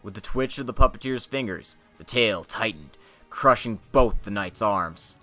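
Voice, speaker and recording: Male narrator for a dramatic fantasy reading, bright and forceful tone, mid pitch, faint music underneath. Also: animated, moderate pace.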